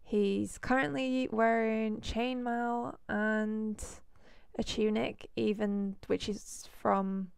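Hoarse woman with a cold, gravelly. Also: on the high side, level tone, extremely slow, dry recording, clean studio sound. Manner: chatty, rambling with fillers. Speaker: let's play host. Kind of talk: casual game commentary.

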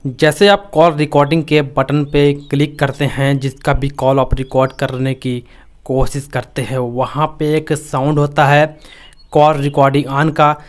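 A man's voice: a little high, level tone, a little slow. An Indian man narrating a step-by-step phone tutorial in a repetitive, instructional way. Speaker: tech tutorial host.

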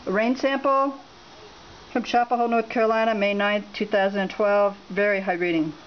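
A woman at a home filming a laptop screen, talking without a script and reading out measurement numbers with many pauses and fillers. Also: mid-range voice, fairly even intonation, dull audio, a little hiss or hum. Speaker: home radiation monitoring vlogger. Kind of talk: unscripted on-camera walkthrough. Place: indoors at a home, beside a laptop.

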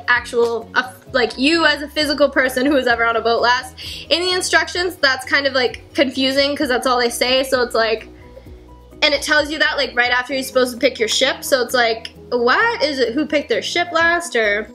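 A young woman in her twenties casually explaining board game rules at home, chatty with lots of fillers, her voice a little hoarse. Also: high voice, sharp tone. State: slightly hoarse. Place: home kitchen, indoors. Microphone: fixed camera at table.

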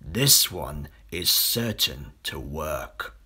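Man voicing a low, scheming storybook crocodile. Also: very animated, very slow, pushed and bright, husky, heavily acted. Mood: sly. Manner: low scheming muttering to himself. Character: sly, greedy, gloating male enormous crocodile. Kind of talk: children's storybook character voice.

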